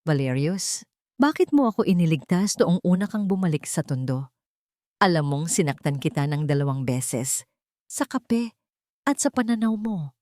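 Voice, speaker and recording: A woman's voice: on the low side, expressive, moderate pace, a little mellow, dry recording, clean studio sound, close to an everyday voice. A woman playing a caring but firm poor young heroine in an audio drama, speaking earnestly. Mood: searching.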